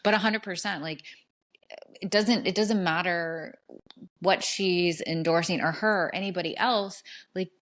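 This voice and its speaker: A woman's voice: fairly low pitch, unhurried, fairly bright tone. A woman in her thirties co-hosting a podcast, chatting casually and rambling with lots of fillers. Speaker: podcast co-host. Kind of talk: casual chat.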